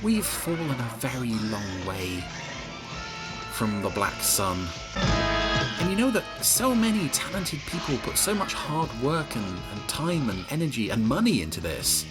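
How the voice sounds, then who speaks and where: A man hosting a podcast, giving wry, hesitant and expressive running commentary on a television episode. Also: mid-range voice, a little slow, loud music behind the voice. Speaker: podcast host.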